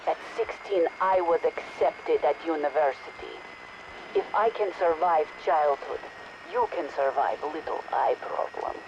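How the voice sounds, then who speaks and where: A woman's voice: low-pitched, unhurried. Ruthless female scientist, scornful and matter-of-fact, lo-fi game audio log. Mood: scornful, hard. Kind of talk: dramatic game dialogue. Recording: dull, noisy radio-like log recording.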